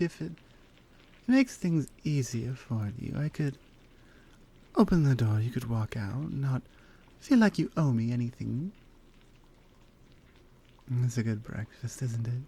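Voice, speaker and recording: Woman voicing a slow, breathy, flirty lioness. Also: deep voice, heavily acted. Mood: suggestive, assured, then playful, teasing. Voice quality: soft, breathy. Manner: teasing, coaxing, drifts into breathy whispers. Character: flirty, confident, forward adult lioness armorsmith. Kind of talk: intimate ASMR roleplay monologue.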